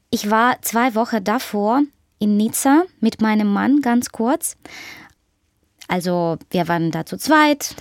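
A woman author with a Ukrainian accent, Russian as her first language, speaking German thoughtfully in an interview with many pauses and fillers. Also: mid-range voice, dry recording, clean studio sound. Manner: thoughtful, hesitant, with frequent fillers. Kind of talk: interview conversation.

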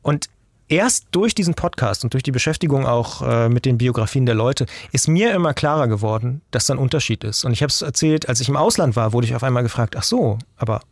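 A man's voice: mid-range voice, lively intonation, brisk, no echo, clean studio sound. A German journalist in his forties from the east of the country, talking thoughtfully as an interview guest in long, restarting sentences. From eastern Germany. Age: 40s. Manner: thoughtful, talks in long chains with restarts and tag questions. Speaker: journalist and podcast maker. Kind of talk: interview guest, reflective conversational talk.

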